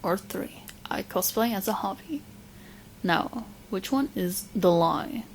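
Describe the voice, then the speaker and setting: A woman playing a sweet, clingy, lovestruck young witch in a soft, intimate ASMR roleplay, speaking in hesitant, doting phrases. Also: mid-range voice, animated, slow pace, slight background noise, poor audio. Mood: nervous, playful.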